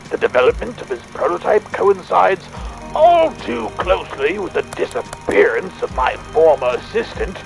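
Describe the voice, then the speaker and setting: Creaky, high-voiced pompous Victorian gentleman. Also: exaggerated voice, poor audio. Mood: suspicious, indignant. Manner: pompous, wordy, hesitant musing. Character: fussy, excitable Victorian gentleman inventor keeping a research diary. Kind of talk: theatrical audio-diary monologue.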